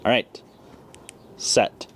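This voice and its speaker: Man, clear background noise. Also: extremely slow, fairly bright tone. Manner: plain-spoken, explanatory. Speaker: ham radio video host. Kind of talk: casual step-by-step product tutorial.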